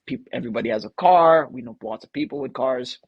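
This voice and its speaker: Husky energetic man from the Philippines. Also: medium pitch, fast, dry recording, dull audio. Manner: energetic, talks in long flowing stories. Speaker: guest entrepreneur. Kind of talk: casual interview storytelling.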